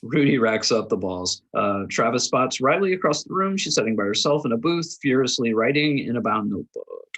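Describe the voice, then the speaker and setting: A man's voice: medium pitch, big pitch swings, fairly quick, few pauses, fairly bright tone, breathy edge. A man narrating the stage directions of a screenplay at a table read, in a scripted style.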